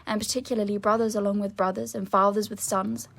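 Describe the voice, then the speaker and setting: A woman's voice: medium pitch, level tone, fast, vocal fry. Young woman reading formally and steadily. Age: young adult. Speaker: student reader. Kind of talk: dramatic reading of a historical text.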